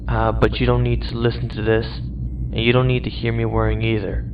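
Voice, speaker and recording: A man voices a young space cadet, hesitant and quietly worried, sending a message to his father. The voice sounds distant and dull, like a lo-fi recording with steady noise behind it. Its pitch sits in the middle. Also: moderate pace, natural voice. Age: young adult. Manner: hesitant, wistful, gently worried.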